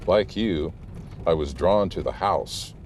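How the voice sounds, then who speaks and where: Audio drama role: grave, cautious local guide, extremely low voice, formal and measured delivery, male actor, some background noise. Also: lively intonation, a little mellow. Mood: grave, warning. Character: grave, cautious male small-town guide warning a reporter.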